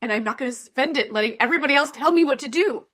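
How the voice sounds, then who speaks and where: An actress playing a wronged, determined young heiress in an audio drama, speaking with emotional emphasis. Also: female voice, sits high, very fast, a bit bright. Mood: firm, defiant.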